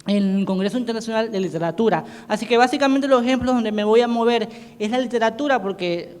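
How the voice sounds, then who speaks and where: A man whose first language is Portuguese gives an academic conference presentation as a panelist, talking haltingly with many restarts and self-corrections. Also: extremely high pitch, smooth. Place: conference hall. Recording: reverberant hall sound.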